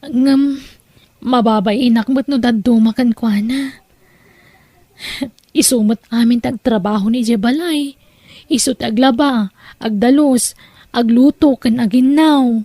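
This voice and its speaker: Woman acting a high-voiced, long-suffering Ilocano wife. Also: a little slow, pauses often, dark tone, no room sound. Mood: gentle, protesting. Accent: Filipino, Ilocano. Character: long-suffering young wife, affectionate, quietly protesting. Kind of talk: Ilocano audio drama acting.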